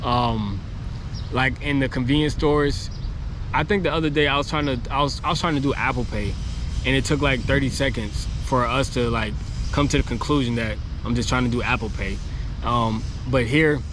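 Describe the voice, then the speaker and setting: A man's voice: mid-range voice, moderate pace. A man who is losing his voice, talking casually to camera outdoors in a rambling, chatty way with lots of fillers. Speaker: travel vlogger. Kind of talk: casual vlog talk to camera. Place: outdoors on a city street near construction. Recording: noisy street with passing traffic. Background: traffic and city street noise.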